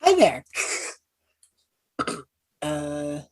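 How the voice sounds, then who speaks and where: A woman's voice: deep voice, huge pitch swings, very slow, exaggerated voice. A woman playing a lost, nervous college-age male half-elf in a tabletop game, hesitant and polite. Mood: nervous, awkward.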